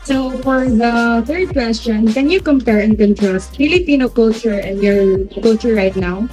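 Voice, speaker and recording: A woman interviewer reading out her questions slowly and deliberately. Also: a little high, darkish tone, soft background music. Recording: distant, echoey room.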